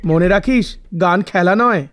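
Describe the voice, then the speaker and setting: A man's voice: sits high, a little slow, fairly bright tone, slight background noise. A man voicing an arrogant, mocking boy musician in a cartoon story, with a sneering, challenging manner. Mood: scornful.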